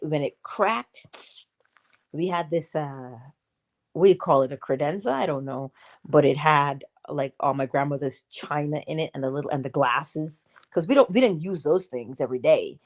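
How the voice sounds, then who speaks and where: A woman hosting a casual morning livestream, chatty and playful, laughing often and breaking into sudden high exclamations. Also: darkish tone. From Barbados.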